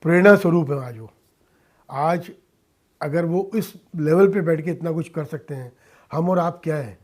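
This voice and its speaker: Man, slightly high pitch, soft dark tone, earnest, emphatic, halting phrases, studio interview guest. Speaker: interview guest, industrialist and philanthropist. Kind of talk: earnest interview answers mixing Hindi and English. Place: radio studio.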